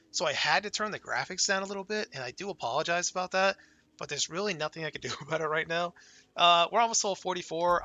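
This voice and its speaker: A young man casually talking through his game character, laid-back and excited, his voice worn and going hoarse from a cold. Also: high voice, no room sound. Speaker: gaming channel host. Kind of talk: casual gameplay commentary.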